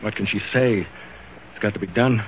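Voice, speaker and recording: An actor playing an agitated, overworked middle-aged shipbuilder in a dramatic 1940s radio style, anxious and impatient. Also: male voice, lowish voice, moderate pace, lightly acted. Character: agitated, overworked wealthy shipbuilder, a man past forty. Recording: muffled, hissy old radio recording.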